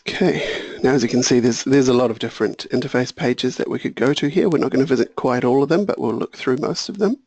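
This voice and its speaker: A man walking through a software tutorial, thinking aloud and correcting himself, a little hoarse. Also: mid-range voice, fast, few pauses, no room sound. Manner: relaxed, thinking aloud, small self-corrections. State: slightly hoarse, clearing throat. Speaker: software trainer. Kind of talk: screen-share software tutorial.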